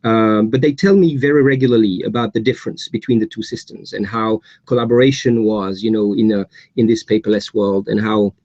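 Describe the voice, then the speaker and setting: A man's voice: fairly low pitch, steady tone, a little fast, darkish tone, no echo, dull audio. A middle-aged Belgian man with a Belgian accent, giving a webinar presentation with frequent fillers and repeated words. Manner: hesitant, many repeats and fillers. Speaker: guest speaker.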